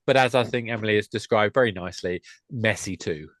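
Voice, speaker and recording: Guy in his 50s, lowish voice, chatty rambling games guest, no room echo. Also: fast, a bit bright. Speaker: guest, games publisher and game master. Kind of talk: casual panel chat.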